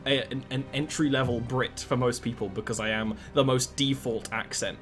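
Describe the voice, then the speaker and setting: A young man from England with a soft southern accent from near Cambridge, chatting casually as game master with plenty of fillers. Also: medium pitch, normal speed, soft background music. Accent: southern English, near Cambridge. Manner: relaxed and chatty, hesitant with fillers. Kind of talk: casual chat between game sessions.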